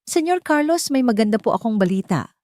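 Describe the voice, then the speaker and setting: A woman narrator plays an upbeat, polite kindergarten director in an audio drama. The delivery is enthusiastic, the sound mellow and dry. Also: a little high, a little fast, no echo, pitched above the performer's own voice. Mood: excited, reporting. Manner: lively, enthusiastic. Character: cheerful, polite female kindergarten director on the phone.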